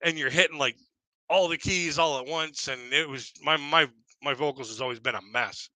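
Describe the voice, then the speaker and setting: Bright, dry-sounding man telling comic stories. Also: dry recording, rough recording. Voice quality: deep voice, by his own account. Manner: rambling, halting, self-deprecating. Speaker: stand-up comedian and live streamer. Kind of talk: casual comic storytelling on a live stream.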